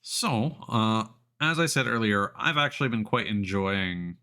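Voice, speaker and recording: A man giving casual, explanatory livestream commentary on a video game, thinking aloud with hesitant pauses. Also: fairly low pitch, animated, pushed and bright, clean tone. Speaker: video game live streamer. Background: music behind the voice.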